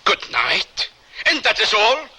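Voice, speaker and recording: An impulsive, proud male scientist, quick to take offence. The voice is high for a man, very sharp and animated, with formal, theatrical phrasing suited to old-time radio drama. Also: breathy edge, heavily acted. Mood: indignant, defiant.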